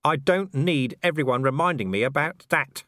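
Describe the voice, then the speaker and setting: A man voicing a gruff, exasperated middle-aged commander who talks bluntly and indignantly in an audiobook. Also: a little high, normal speed, fairly bright tone, no room sound, studio quality, pitched above the performer's own voice, close to an everyday voice. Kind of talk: audiobook character voice.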